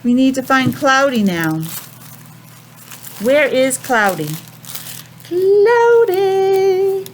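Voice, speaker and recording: An American woman teaching young children a simple lesson in a sing-song, questioning way with pauses. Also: sits high, very animated, a little hiss or hum, rough recording. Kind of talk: children's calendar and weather lesson.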